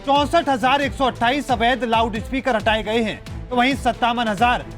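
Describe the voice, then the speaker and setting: A man's voice: very high-pitched, normal speed, a bit bright. A man reading scripted narration for a news report. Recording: music behind the voice. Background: news background music.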